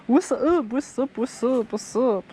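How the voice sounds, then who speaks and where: A woman's voice: fairly high pitch, unhurried, mellow, a little hiss or hum. A woman chatting casually for a vlog while out on a scooter trip. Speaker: vlogger.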